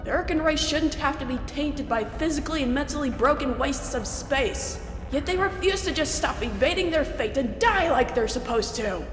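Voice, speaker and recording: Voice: high-pitched, lively intonation, normal speed, fairly bright tone, echoey room, in a large hall, faint music behind, voice pushed up. A narrator voicing a scornful, spiteful alien invader with a sneering manner in a read-aloud story. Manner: sneering, spitting complaints.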